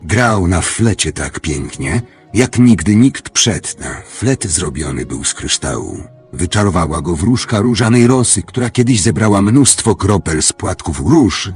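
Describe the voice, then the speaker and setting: An actor playing a grand male clock master who tells fairy tales in an audio drama, with a husky voice. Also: low-pitched, expressive, a little slow, a little mellow, faint music behind, heavily acted. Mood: storytelling. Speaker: storyteller.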